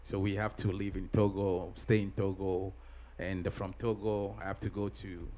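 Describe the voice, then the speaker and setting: A middle-aged man from Ghana, a pastor being interviewed, answering in a halting way with many pauses and restarts. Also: low-pitched, flat delivery, warm tone. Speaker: pastor and guest.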